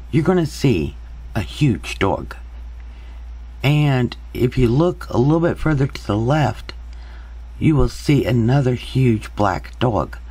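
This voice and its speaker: Slow, husky man describing photos. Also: mid-range voice, dark tone. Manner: slow, halting, hesitant with many pauses. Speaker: paranormal investigator. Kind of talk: narrating over still photos.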